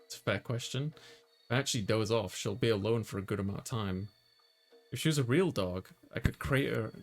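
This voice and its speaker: A man's voice: lowish voice, a little fast, faint music behind. A man hosting a let's play, chatting casually and reading a visual novel's lines aloud. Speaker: host, gamer reading player character lines.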